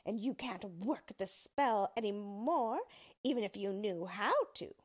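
A woman's voice: medium pitch, animated, measured pace, a little mellow. A woman voicing a greedy, gloating, wicked witch in a children's storybook, hissing and shrieking her menacing words.

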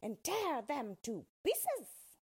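A woman voicing a cruel, commanding wicked witch in a storybook reading, with shrill orders. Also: huge pitch swings, very slow, heavily acted.

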